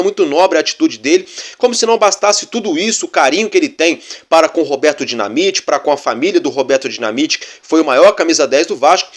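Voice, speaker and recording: A Brazilian man hosts his own fan channel and talks through club news in a loose, chatty flow. His voice sits a little higher than most men's, and he keeps coming back to the same friendly fillers as he goes. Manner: chatty, repeats fillers like "né" and "galera". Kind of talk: casual football fan commentary.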